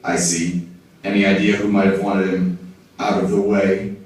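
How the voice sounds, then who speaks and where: A man playing a wisecracking, gruff private detective puppet in a film-noir parody, slangy and wry. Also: low voice, little pitch movement, moderate pace, darkish tone, lightly acted. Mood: wry, skeptical. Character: wisecracking, down-on-his-luck hard-boiled male private detective puppet. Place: indoor hall, behind a puppet stage. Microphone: far camera in the audience. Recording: echoey room, distant audience camera.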